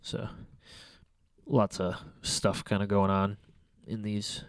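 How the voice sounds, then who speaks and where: A young man talks through his photos with a congested, stuffy nose, rambling and restarting his sentences. His voice sits low and sounds smooth and clear. The sound is dry and close, with no room around it. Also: little pitch movement, takes it slowly, no echo. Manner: rambling, hesitant, many fillers and restarts. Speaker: photography vlogger. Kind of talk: casual photo review commentary. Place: small room at home by a window.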